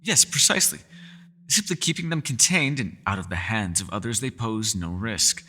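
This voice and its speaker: A man's voice: fairly low pitch, animated, normal speed, bright, forceful tone, in a large hall. A man voicing a centuries-old elf scholar in an ASMR roleplay, courteous and meticulous, with formal wording and small hesitant stammers. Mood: earnest explaining. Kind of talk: ASMR roleplay voice acting, one-sided dialogue.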